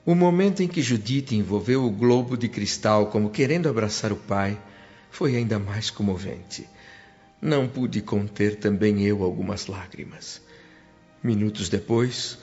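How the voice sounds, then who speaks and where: A man's voice: on the low side, a little mellow. An actor playing a humble, sentimental spirit who recounts his afterlife in earnest, literary Brazilian Portuguese radio drama narration. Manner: earnest, reverent, literary storytelling. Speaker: narrator and protagonist. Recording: muffled radio drama with music bed.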